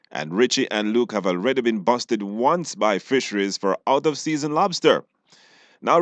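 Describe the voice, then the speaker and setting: A man's voice: fairly low pitch, dry recording. A man presenting on radio, narrating a recap of a serial episode.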